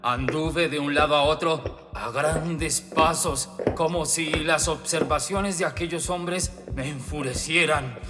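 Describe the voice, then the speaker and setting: An actor playing a tormented, paranoid murderer in an agitated, halting dramatic radio horror monologue. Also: male voice, a little high. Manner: agitated, halting, building to frantic outbursts. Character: tormented, paranoid male murderer confessing his crime. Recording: long hall reverb, music underneath. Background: music.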